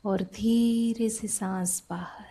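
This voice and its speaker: A woman softly guides a sleep meditation in a soothing, unhurried way, pausing between short phrases. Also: medium pitch, steady tone, husky.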